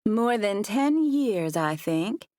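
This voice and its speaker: A woman voicing an entitled young Southern woman who speaks in a slow, syrupy drawl in an audiobook. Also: medium pitch, sing-song, darkish tone, dry recording, studio quality, voice pushed up. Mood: chatty.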